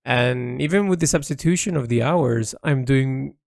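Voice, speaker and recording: A man recording a reflective, unscripted audio log as an artist, rambling and rephrasing haltingly as he thinks aloud into a close mic. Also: mid-range voice, creaky, no room sound.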